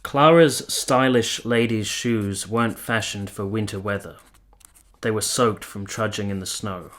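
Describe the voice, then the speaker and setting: An actor playing a wistful nineteenth-century gentleman lover in a radio play, speaking in formal, literary phrases. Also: on the low side. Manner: literary, lingering, formal storytelling. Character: romantic, wistful 19th-century Italian gentleman lover recalling his affair. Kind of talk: radio play acting and first-person narration.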